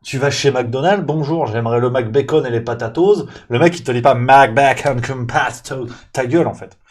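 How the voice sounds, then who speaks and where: A man hosting a show, delivering a comic rant in an animated, exasperated tone, swinging between loud outbursts and muttered asides. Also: medium pitch, normal speed. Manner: animated, exasperated, mock-angry ranting; parodies waiters with exaggerated Italian accent.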